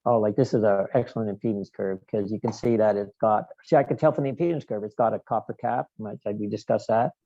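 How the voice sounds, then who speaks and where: Gravelly, mellow man explaining technical material. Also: on the low side, no echo, muffled recording. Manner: halting, digressive, explanatory. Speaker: guest lecturer, loudspeaker engineer. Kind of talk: technical presentation with question and answer.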